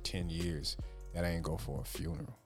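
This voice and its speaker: Deep-voiced man rambling on a podcast. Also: fairly even intonation, fairly quick. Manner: rambling, loose, repeats "you see what I'm saying". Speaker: podcast host. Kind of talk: casual chat. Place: small room, group podcast around a table. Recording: music playing in the background.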